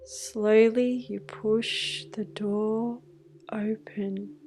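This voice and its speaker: A woman guiding a scripted meditation in a hushed, soothing manner, leaving long pauses between phrases. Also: mid-range voice, little pitch movement. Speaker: meditation guide. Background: music with singing bowls.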